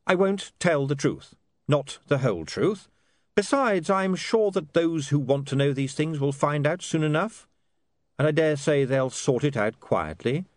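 A defiant, sharp-tongued young man who fires back quick retorts, as a man voices him in a storybook reading. His voice runs a little high and lively, and the recording is dry. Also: dry recording.

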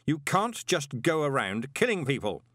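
A man voicing a glib, quick-witted male swindler turned postmaster in an audiobook, brisk, assertive and persuasive. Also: fairly high pitch, huge pitch swings, fairly bright tone, no echo, clean studio sound, pitched above the performer's own voice, heavily acted. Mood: indignant. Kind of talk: audiobook character voice.

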